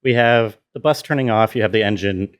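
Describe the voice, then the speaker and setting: A man's voice: lowish voice, a little fast, clean tone. A man giving an informal walkthrough as a sound designer, thinking aloud as he explains. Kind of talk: casual tutorial walkthrough.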